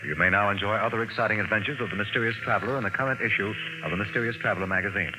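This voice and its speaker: A man's voice: lowish voice, racing. Male radio announcer, noisy vintage broadcast. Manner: formal, polished promotional delivery. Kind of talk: 1950s network radio announcing.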